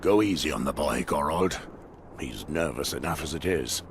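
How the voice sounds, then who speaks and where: An actor playing a taciturn, seasoned warrior of a fantasy watch in a video game, gravelly and dry. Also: male voice, a bass voice, animated, moderate pace, background noise. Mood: calm. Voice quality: gravelly, creaky. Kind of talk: video game cutscene acting.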